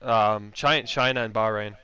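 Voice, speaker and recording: A man's voice: fairly low pitch, steady tone, measured pace, pushed and bright, clean tone, dry recording. A man hosting a post-race sim racing stream, chatting casually with drivers and joking crudely. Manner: joking, laughing, crude banter.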